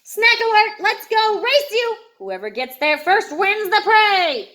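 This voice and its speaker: Woman voicing an eager, high-pitched storybook shark. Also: big pitch swings, measured pace, sharp tone, exaggerated voice. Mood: excited, boastful. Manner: eager, showy, exclamatory.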